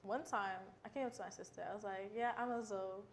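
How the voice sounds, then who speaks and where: Teen girl, inquisitive co-host, anecdotal, mid pitch. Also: fast, slight background noise. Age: teens. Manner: inquisitive, tells small anecdotes. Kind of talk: casual chat.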